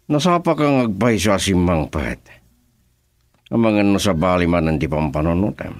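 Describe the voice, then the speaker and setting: An actor in an Ilocano radio drama playing a brooding, scheming older man who speaks slowly in a deep, gravelly, menacing voice. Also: expressive, dry recording. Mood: gruff.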